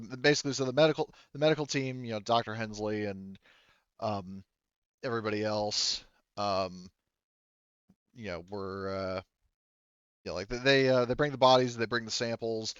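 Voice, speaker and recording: Male game master, casual and rambling, clear smooth voice, close dry sound on a slightly dull mic. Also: lowish voice, no room sound. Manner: halting, rambling, searching for words. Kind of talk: casual improvised tabletop game narration.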